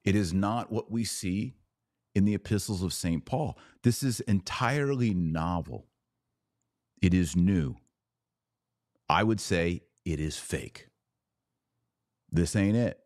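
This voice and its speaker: A man with a fairly low voice lecturing on a podcast, pressing his points through steady repetition. The sound is close and dry, with no room around it. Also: slow, pauses often, dry recording. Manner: emphatic, lecturing, repeats points for stress. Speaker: podcast host. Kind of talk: podcast commentary on church liturgy.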